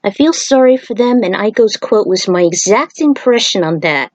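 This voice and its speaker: Female fan narrator, average pitch, emphatic and opinionated, informal commentary delivery, very dry sound. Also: expressive, normal speed, a little mellow, no echo. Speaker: fan fiction author and video narrator.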